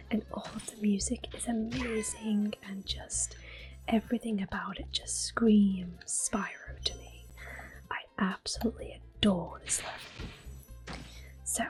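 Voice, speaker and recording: Woman, ASMR gameplay host, soft-spoken, breathy, often whispered, unhurried, music in the background. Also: mid-range voice. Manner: gentle, unhurried. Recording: quiet game music behind. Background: soft video game music.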